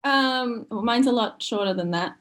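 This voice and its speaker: A woman's voice: a little high, moderate pace. An Australian woman giving a casual introduction as a podcast guest. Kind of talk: brief casual self-introduction.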